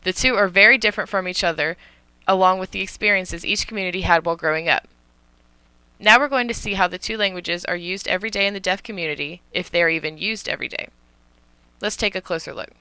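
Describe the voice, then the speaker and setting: A woman's voice: fairly low pitch, fast talker, sharp tone, smooth, no echo, poor audio. A woman student interpreter voicing a signer's explanatory commentary like a presenter.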